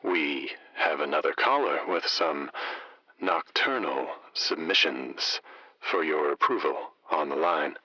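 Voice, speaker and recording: An actor playing a suave, wry late-night radio host in a comedy audio drama, teasing callers with mock solemnity. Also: male voice, rumbling low, lively intonation, slow pace, bright, forceful tone, no echo, dull audio, full character voice. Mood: wry, inviting.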